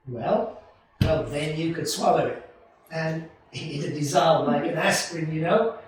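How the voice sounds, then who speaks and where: Excitable high-voiced young dreamer, played by a man, echoey. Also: close to an everyday voice. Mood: dreamy, eager. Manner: excitable, impassioned, halting and folksy. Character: idealistic, restless young American small-town man. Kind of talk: radio play acting. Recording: roomy, distant stage recording, faint noise.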